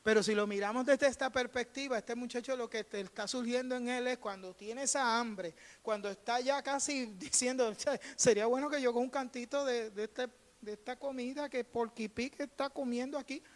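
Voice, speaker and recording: Puerto rican guy pastor giving a sermon, kinda high voice, storytelling and emphatic, long hall reverb. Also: fairly even intonation, normal speed. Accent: Puerto Rican Spanish. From Puerto Rico. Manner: emphatic, repeats phrases for emphasis, acts out dialogue. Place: church sanctuary during a live service. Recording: reverberant hall, live church service.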